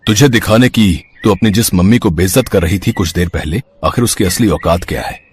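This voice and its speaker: A man playing a stern, reproachful working-class father in a dramatic Hindi cartoon story, with a husky voice. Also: sits low, a little fast, a little hiss or hum, close to an everyday voice. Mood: stern, angry.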